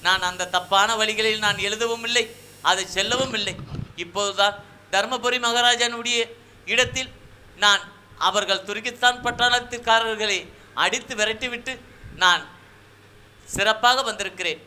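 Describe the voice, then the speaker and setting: A man with a Tamil Nadu accent playing an earnest, pleading king and husband in halting, formal folk puppet-theatre speech. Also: sits high, steady tone, pushed and bright. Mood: declaiming. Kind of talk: folk shadow puppet drama, formal stage diction.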